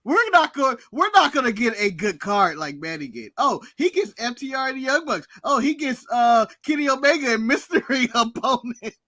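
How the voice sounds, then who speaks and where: Lively, bright-voiced joking man. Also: extremely high pitch, normal speed, few pauses, no echo, clean studio sound. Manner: profane, joking, animated. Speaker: podcast co-host. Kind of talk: casual podcast banter.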